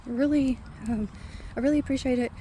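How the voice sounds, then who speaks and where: A soft-spoken woman with a quiet voice, chatting casually to her viewers while walking outdoors in a park. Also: sits high, steady tone, brisk, a little hiss or hum. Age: adult with a son. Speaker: vlogger. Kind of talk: casual walking vlog chat.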